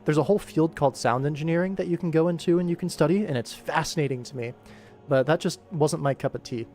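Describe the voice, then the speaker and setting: Casting: young man, streamer-animator, lightly higher register, loose chatty work-along delivery over background music. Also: quick pace, darkish tone. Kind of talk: casual rambling livestream commentary while working.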